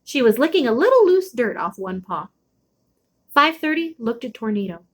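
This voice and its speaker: Female storybook reader, highish voice, animated intonation, expressive delivery, dramatic pauses. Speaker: storybook read-aloud host. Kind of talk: expressive read-aloud of a children's chapter book.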